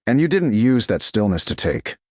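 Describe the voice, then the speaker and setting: A man voicing a composed young noblewoman who speaks in a measured, earnest, plain way. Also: fairly low pitch, sing-song, a little mellow, husky, no echo, studio quality. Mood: quiet resolve. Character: composed noblewoman, young adult, guarded but candid.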